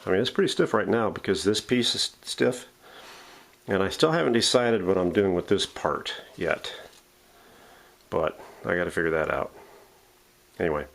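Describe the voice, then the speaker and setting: Low, gravelly man in casual workshop demo. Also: a bit bright. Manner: unscripted, talking through steps while handling parts. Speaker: host of a vintage radio restoration channel. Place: home workshop.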